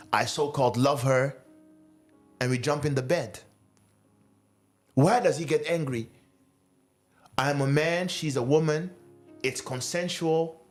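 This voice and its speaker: A man preaching a sermon, asking his listeners questions and repeating key phrases for emphasis. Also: fairly high pitch.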